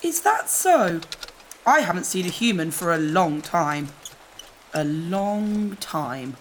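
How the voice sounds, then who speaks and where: A woman acts a shady female alien bear who fixes machines in a sci-fi audio drama. Her voice is on the low side, deadpan and clipped yet lively in pitch, with faint rainy noise behind. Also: slow pace. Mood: dry, ominous. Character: shady, matter-of-fact female alien bear-like repair trader.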